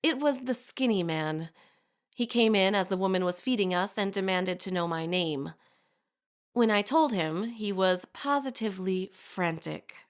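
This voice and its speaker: A woman's voice: mid-range voice, measured pace, natural voice. A woman playing a poised, sardonic society lady in an audio drama, narrating a letter with precise, formal, archly wry diction. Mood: wry, amused. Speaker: actor in a fiction podcast. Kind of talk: scripted audio drama monologue, letter read aloud.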